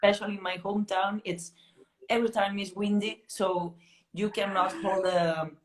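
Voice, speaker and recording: A woman's voice: medium pitch, steady tone, crowd noise. A woman from Galicia with a Spanish accent, a guest on a video-call chat who answers casually and laughs easily. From Galicia, Spain. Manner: laughs easily, quick short replies. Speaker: guest, metal singer.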